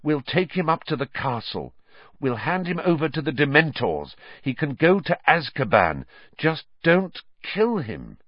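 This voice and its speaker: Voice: mid-range voice, expressive, measured pace, no echo, lo-fi sound, pitched above the performer's own voice. A man voicing a brave, determined teenage boy, breathless, blunt and insistent, in an audiobook story. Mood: firm pleading. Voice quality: breathless, panting.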